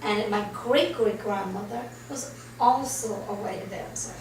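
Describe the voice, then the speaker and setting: A woman from Trinidad telling her life story as an interview guest, animated, acting out the reactions she quotes. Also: mid-range voice, measured pace. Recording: roomy and distant, faint noise.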